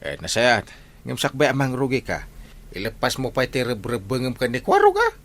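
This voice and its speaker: A man's voice: medium pitch, huge pitch swings, fairly quick, a bit bright. A man with an Ilocano Filipino accent playing a good-natured, fair-minded village captain in a radio comedy drama. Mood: reasonable.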